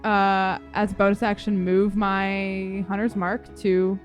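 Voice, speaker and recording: A woman's voice: mid-range voice, level tone, slow, soft background music. A woman playing a tabletop game, casually chatting and describing what her character does.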